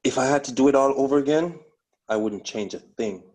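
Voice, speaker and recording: A man playing a bitter, guilt-ridden widowed police officer with a husky voice, in a dramatic script read-through. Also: medium pitch, a little fast, a little mellow, natural voice, low-quality recording. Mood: guilty, haunted.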